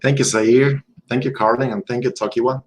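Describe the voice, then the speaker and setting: A young man from Venezuela whose first language is Spanish, giving a steady, measured talk to teens as a guest speaker. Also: medium pitch. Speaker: electrical engineer, guest speaker.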